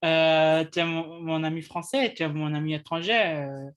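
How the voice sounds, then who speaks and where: A man's voice: fairly high pitch, flat delivery, normal speed, clear, resonant tone, muffled recording. A man whose first languages are English and Indonesian, co-hosting a casual discussion and often restarting his phrases. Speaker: co-host.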